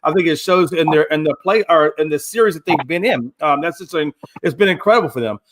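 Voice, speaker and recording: A man's voice: on the high side, fast, fairly bright tone. A man in his fifties co-hosting a casual sports talk show, teasing the others and rambling with repeated words. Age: 50s. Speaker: co-host.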